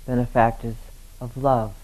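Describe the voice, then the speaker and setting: A man leading a guided meditation as a teacher, speaking gently in short, unhurried phrases with long pauses. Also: mid-range voice, little pitch movement, rough recording.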